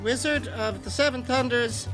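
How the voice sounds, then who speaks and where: A man's voice: extremely high pitch, normal speed. A man voicing a genial, fussy giant rabbit pooka, an easily impressed sidekick in a comic audio drama. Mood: eager, helpful. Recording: music bed behind voice.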